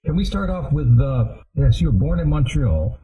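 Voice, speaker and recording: An older man hosting a conversational interview, with halting, hesitant phrasing. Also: mid-range voice, sing-song, warm tone. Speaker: interviewer, host. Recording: dull lo-fi audio.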